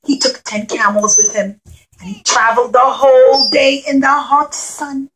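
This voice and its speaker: A woman's voice: sits high, normal speed, fairly bright tone, a little hiss or hum, rough recording. A woman telling an interactive puppet-show story to children in simple, emphatic, encouraging phrases, as their teacher.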